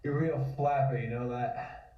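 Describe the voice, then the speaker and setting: An actor playing a wry, roguish 1920s charmer with casual, slangy talk, in a table read. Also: male voice, mid-range voice, steady tone, unhurried, natural voice. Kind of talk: comic script table read. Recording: echoey, distant, muffled call audio.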